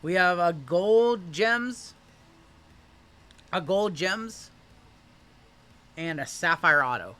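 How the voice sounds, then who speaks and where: A man hosting a livestream card break, calling out pulls with hype and jokes, his voice wearing hoarse. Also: high-pitched, animated, drawn-out words, long pauses, pushed and bright. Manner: hyped, joking, calls out cards as they are pulled. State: voice going hoarse from shouting.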